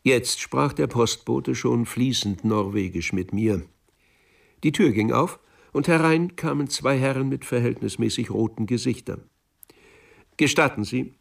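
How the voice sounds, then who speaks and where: A man narrating a literary radio play in the first person, with a deliberate, dry storytelling manner. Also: mid-range voice, vocal fry, recorded from a distance.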